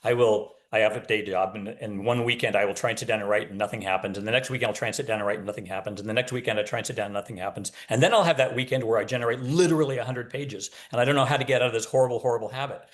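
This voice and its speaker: A man's voice: mid-range voice, rapid-fire, few pauses. A man talking casually as an author guest, wry and opinionated, telling anecdotes and giving advice.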